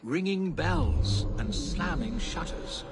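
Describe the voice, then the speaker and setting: A man playing a genteel, theatrical Victorian gentleman in a video game, telling ghost stories in florid, articulate speech. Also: fairly high pitch, expressive, slow pace, loud music behind the voice. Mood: theatrical, eerie. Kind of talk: dramatic ghost-story narration in a video game.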